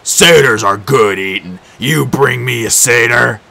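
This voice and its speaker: A man voicing a huge, dim-witted male cyclops who growls his words slowly and heavily. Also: mid-range voice, gravelly, sharp tone, exaggerated voice. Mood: growling menace. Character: huge brutish one-eyed male cyclops.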